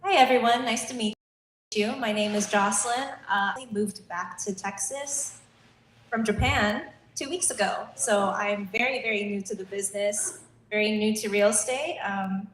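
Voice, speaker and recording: A woman's voice: medium pitch, moderate pace, a bit bright. A woman new to the business introduces herself in short, friendly phrases. The room is echoey, with a faint hiss behind her. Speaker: new real estate agent. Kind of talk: self-introduction at a meeting.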